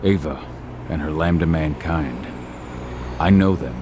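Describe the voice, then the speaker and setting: A grim werewolf fighter from a video game, his voice very low and his words terse and stern. He sounds determined, and there is clear noise behind him. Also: a little slow. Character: gruff determined male werewolf warrior, father seeking his daughter. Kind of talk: video game cutscene acting.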